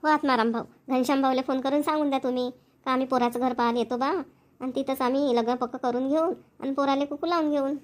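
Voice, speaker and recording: A woman's voice: high-pitched, fairly even intonation, fast, close to an everyday voice. A woman voicing an eager, practical village wife in a homemade cartoon, chatty and insistent in Marathi with a Vidarbha accent. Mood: eager, urging.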